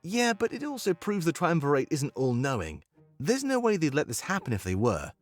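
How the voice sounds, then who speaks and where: A man voicing a warm, cocky and informal rebel general in a visual novel. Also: on the high side, huge pitch swings, brisk, faint music behind. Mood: assured.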